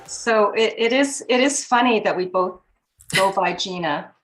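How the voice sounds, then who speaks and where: A woman, a professor and psychologist, opening a virtual presentation in a welcoming, measured way on a video call. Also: medium pitch, soft background music.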